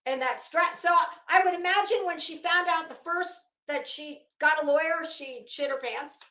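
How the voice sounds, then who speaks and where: A woman giving informal, opinionated commentary in an emphatic, scornful manner, swearing freely and pausing dramatically. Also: sits high, bright, forceful tone, reverberant, rough recording. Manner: emphatic, scornful, profane, dramatic pauses and repetition. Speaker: online commentator.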